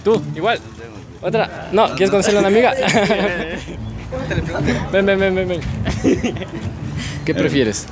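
A man's voice: high voice, unhurried, pauses often. A young man with an Ecuadorian Spanish accent, playfully chumming up with people in a street interview. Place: outdoor city street. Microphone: phone held out as a mic. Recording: loud street noise.

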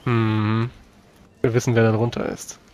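A man's voice: on the low side, monotone, unhurried, a little mellow, clean tone. A man voicing his knight character at a tabletop role-playing session, in casual in-character talk. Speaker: player.

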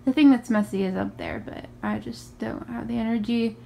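A young woman with a raspy morning voice, chatting casually through a tour of her small bedroom, filmed on a handheld phone. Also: a little high, fairly even intonation, normal speed, warm tone, slight background noise. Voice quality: raspy from just waking up. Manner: chatty, upbeat, many ums and likes. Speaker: vlogger. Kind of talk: casual room tour vlog. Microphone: handheld phone camera.

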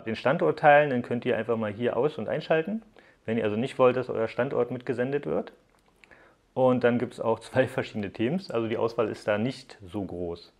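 A man presents a hands-on tech review in a casual, explanatory way. His voice sits at an average pitch for a man. He speaks straight to the viewer, guiding them through features as a reviewer would. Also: normal speed. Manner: explanatory, addressing viewers directly. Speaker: app reviewer, host.

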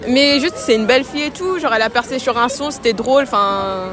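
A woman's voice: a little high, fast. A young woman giving a rambling street interview answer full of fillers. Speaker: passer-by. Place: city square outdoors. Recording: street crowd and music behind.

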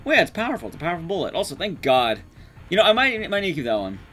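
A man's voice: sits high, huge pitch swings, racing, fairly bright tone, faint music behind. A young man giving casual, wry gameplay commentary to his chat, informal and sweary. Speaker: streamer, speedrunner.

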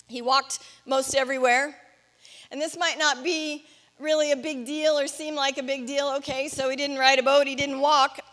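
A woman's voice: sits high, fairly bright tone. A middle-aged woman pastor preaching in a reflective, explanatory way, putting questions to her listeners. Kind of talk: sermon. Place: church stage, indoors.

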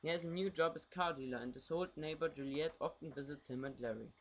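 A man's voice: fairly high pitch, fast talker. A young man from Germany giving scripted narration for an amateur radio play.

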